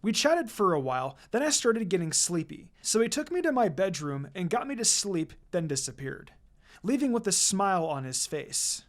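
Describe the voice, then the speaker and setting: The reader narrates fan fiction in the first person with an expressive delivery. The pitch is mid-range and moves around in a very lively way. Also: normal speed.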